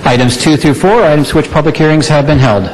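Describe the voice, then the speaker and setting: A man's voice: fairly high pitch, a little hiss or hum, rough recording. A middle-aged man serving as council clerk, reading the roll call and agenda formally into a desk microphone. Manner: rapid, formal, matter-of-fact reading. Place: large council chamber.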